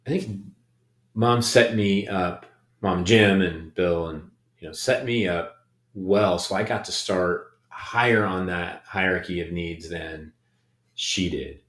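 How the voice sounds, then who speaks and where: A middle-aged man co-hosting a podcast, talking reflectively in casual conversation, with many pauses and restarts. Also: deep voice, fairly bright tone. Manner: hesitant, many ums and restarts. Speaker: podcast co-host. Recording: echoey room.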